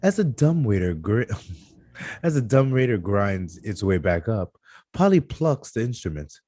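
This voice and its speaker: A man narrating screenplay stage directions at a live table read, with a husky voice and occasional stumbles that he corrects. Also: on the low side, big pitch swings, unhurried, mellow, no room sound. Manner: stumbles over words and corrects himself.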